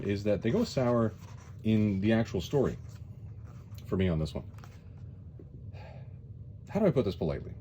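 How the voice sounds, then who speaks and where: Deep-voiced man reviewing comics casually. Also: warm tone, muffled recording, a little hiss or hum. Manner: wry, hesitant, repeats words.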